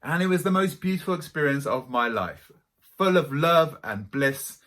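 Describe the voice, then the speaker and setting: Bright, lively Welsh-accented man, dramatic storyteller. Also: a little high, normal speed. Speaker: spiritual teacher. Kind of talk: storytelling to camera.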